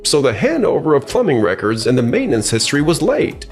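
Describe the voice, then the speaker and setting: A man's voice: mid-range voice, wildly swooping pitch, quick pace, faint music behind. A man playing a stern, accusing client in a manga dub, complaining in a formal manner. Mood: angry. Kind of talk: expressive manga dub voice acting.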